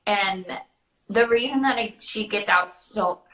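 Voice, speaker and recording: A woman's voice: mid-range voice, a little slow, sharp tone. A young adult woman chatting casually as a host and answering questions. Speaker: host, princess party performer. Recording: roomy, echoing sound.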